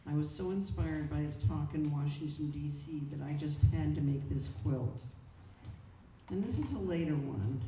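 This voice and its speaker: A woman's voice: sits low, dark tone. A woman presenting at a club meeting, reading a prepared speech aloud with informal asides. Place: indoor meeting room, speaking to a group. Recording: roomy, distant mic, clear background noise.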